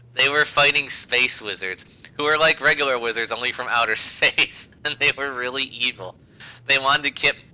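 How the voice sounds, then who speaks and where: Bright, forceful man reading aloud, amused. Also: mid-range voice, rough recording. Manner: breaks into laughter while reading, hammy emphasis. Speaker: podcast host reading fan fiction aloud. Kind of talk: amused read-aloud of amateur fan fiction.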